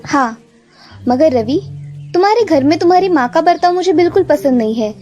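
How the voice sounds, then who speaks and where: Lady doing a confident young daughter-in-law in a cartoon story, firm, really high voice, music behind. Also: expressive, a little fast, dark tone, dull audio. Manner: firm, outspoken.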